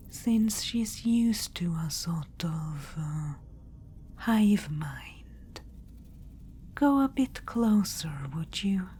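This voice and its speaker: A woman voicing a regal undead witch-queen in an ASMR roleplay, speaking in a soft whisper and calling the listener darling. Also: mid-range voice, slight background noise. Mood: fascinated. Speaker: ASMR performer.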